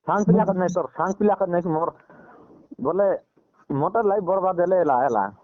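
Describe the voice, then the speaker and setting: A man's voice: sits high, normal speed, no echo. A man with a Koraputia Odia accent in a casual telephone conversation. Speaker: man on a phone call. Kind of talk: casual phone chat. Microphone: telephone line. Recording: tinny narrow-band phone call.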